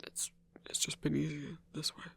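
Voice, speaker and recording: Shy, halting werewolf hunter played by a man, very husky. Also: on the high side, completely flat delivery, fairly bright tone, whispered, full character voice. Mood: guarded, earnest. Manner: stammering, halting, earnest. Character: shy, socially awkward male werewolf hunter, gentle and earnest. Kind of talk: intimate ASMR audio roleplay.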